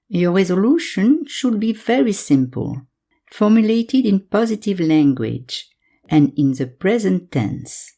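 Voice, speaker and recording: A woman guiding a yoga nidra meditation in calm, measured instructions, leaving pauses between phrases. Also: mid-range voice. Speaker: meditation guide.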